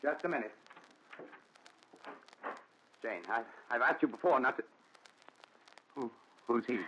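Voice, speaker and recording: A man's voice: medium pitch, moderate pace, bright, forceful tone, natural voice. Casting: male actor for agitated young man gripped by dread, 1940s radio drama, muffled noisy recording with clicks. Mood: edgy, defensive. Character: frightened, agitated young fiancé haunted by dread. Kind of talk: 1940s radio thriller acting.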